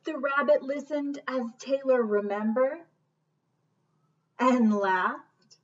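Casting: woman, 30s, children's storyteller, unhurried and gentle, dull webcam audio. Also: high voice. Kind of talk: picture book read-aloud. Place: small room indoors. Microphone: webcam at about arm's length. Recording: dull webcam sound, distant mic.

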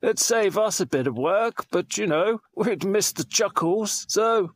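A man's voice: sits high, expressive, a little slow. A man voicing a snide, mocking broadcast regulator in a radio comedy skit, dry and condescending.

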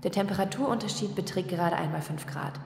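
A woman's voice: medium pitch, fast talker, a little mellow, natural voice. A woman playing a guarded, insistent young scientist on the run in an audio drama. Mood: insistent, worried. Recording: reverberant hall with clear noise.

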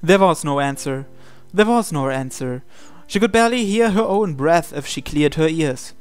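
A man's voice: fairly high pitch, animated, moderate pace, clear, resonant tone. A man reading a visual novel aloud as narration, sometimes stumbling and repeating phrases. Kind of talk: dramatic read-aloud narration with asides. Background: game music.

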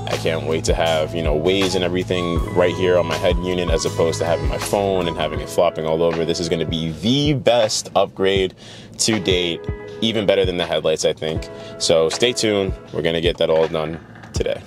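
A man's voice: low voice, steady tone, a little fast. A young American man talking casually to the camera as a car vlogger. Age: young adult. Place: inside a parked car, later a garage. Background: background music.

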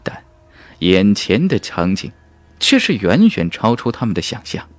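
A man reading a fantasy novel as an audiobook narrator, in a dramatic, measured and emphatic storytelling manner. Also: lowish voice, sing-song, dry recording.

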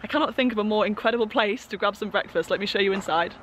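A woman's voice: mid-range voice, fast talker, heavy background noise. A young woman filming herself on a road trip, talking to camera with bubbly enthusiasm and frequent laughs. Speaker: travel vlogger. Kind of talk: excited travel vlog to camera. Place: outdoors and in a car on a road trip. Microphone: camera held at arm's length.

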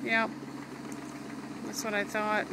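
A woman's voice: medium pitch, monotone, slow pace, creaky. A woman casually narrating a home renovation video while filming outdoors, chatty and full of "you know" fillers. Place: outdoors at a building site. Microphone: phone camera held by the narrator. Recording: outdoor phone video with loud machinery. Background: digger engine noise.

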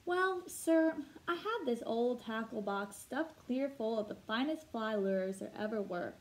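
A kindly old country shopkeeper from a children's storybook, voiced by a woman, talking in a folksy, coaxing way. The voice sits fairly high. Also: lively intonation, a little slow.

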